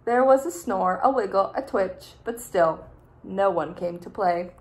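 A young adult woman, a teacher, reading a picture book aloud in a deliberate, expressive way for small children. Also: mid-range voice, rough recording. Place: small room indoors.

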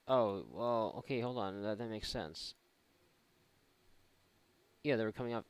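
A man's voice: lowish voice, takes it slowly, clear, resonant tone, dry recording. A man doing casual, irreverent let's play commentary, mocking and thinking aloud. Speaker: gaming YouTuber.

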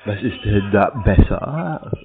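A man handles a prank phone call with short, deadpan answers and a show of naivety. His pace is slow while his pitch moves around a lot. The sound is thin, phone-like and noisy. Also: soft background music. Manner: deadpan, terse, playing naive. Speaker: scambaiter taking scam calls. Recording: phone-like band, clearly noisy.

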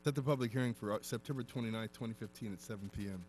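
A man acting as mayor, chairing a council meeting in a wood-paneled chamber, brisk and procedural, and firm when he interrupts. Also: fairly low pitch, darkish tone, slight background noise, poor audio. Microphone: desk microphone on the dais.

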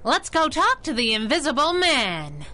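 An actress playing a plucky, wisecracking masked crimefighter in a 1930s-style radio drama, sassy and slangy. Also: female voice, high voice, huge pitch swings, unhurried, heavily acted. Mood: breezy, wry.

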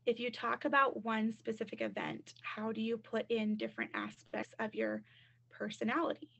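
A woman counselor on a webinar answers questions in a chatty way, with lots of "like" and "um". Her voice sits a little high and has a gravelly edge. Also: animated, normal speed, fairly bright tone, highs cut off. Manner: chatty, many fillers and "like". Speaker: college admissions counselor.

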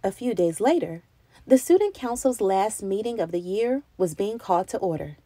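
A woman narrates a children's picture book expressively. Her pitch moves around in a lively way, and the recording is dry and free of room sound. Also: normal speed, dry recording. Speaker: children's book read-aloud reader.

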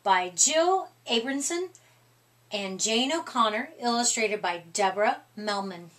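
A woman's voice: fairly high pitch, lively intonation, unhurried, a bit bright. A middle-aged woman reading a picture book aloud for a children's storytime. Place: small room indoors.

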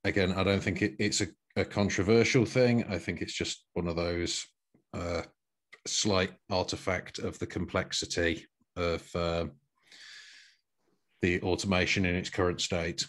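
A man chairing a work meeting on a video call, speaking with hesitant pauses. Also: deep voice, steady tone, fairly bright tone. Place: small home room, video call.

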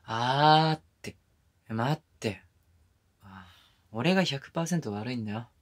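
A man's voice: mid-range voice, expressive, unhurried. Tender, smitten young boyfriend played by a man. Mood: hurt, apologetic. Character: smitten young boyfriend, apologetic, tender, intimate pillow talk. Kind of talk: intimate ASMR situation-voice drama.

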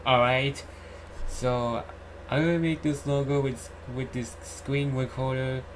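A young man giving a casual screen-recorded tutorial in a halting, repetitive way. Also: mid-range voice, fairly even intonation. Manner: halting, repetitive, says "alright" often. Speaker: YouTuber host. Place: indoor room at home. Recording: clearly noisy home recording, keyboard typing.

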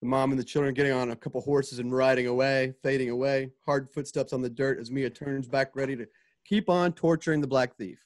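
A man acts as narrator for a radio drama recorded on a home call. His voice is medium in pitch as he reads scripted action and spoken sound effects. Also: fairly even intonation, fairly quick. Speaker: narrator reading stage directions. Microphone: home video call.

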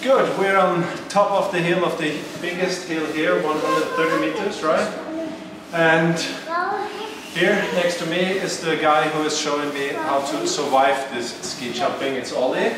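A man in his thirties hosting a casual skiing vlog, upbeat and quick to laugh, recorded on an action camera outdoors. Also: on the high side. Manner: upbeat, laughs often, excitable. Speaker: vlog host, cross-country skier. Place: outdoors on snowy ski hills and in a changing room. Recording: noisy outdoor camera sound.

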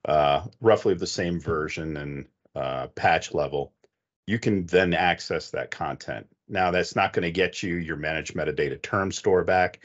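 A man's voice: deep voice, normal speed. A middle-aged man giving methodical, conversational technical advice as a panelist on an online video call. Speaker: expert panelist. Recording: dull, muffled online call audio.

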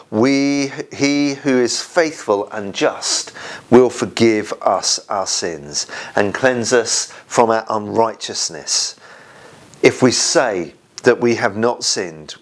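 Slow, low-voiced minister leading worship. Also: male voice. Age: middle-aged. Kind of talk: church service, prayer and scripture reading. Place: small home conservatory.

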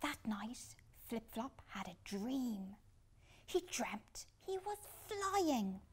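A woman reads a children's picture book expressively, with deliberate dramatic pauses. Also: on the high side, big pitch swings, a little hiss or hum. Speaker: children's storytime reader. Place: small furnished room indoors.

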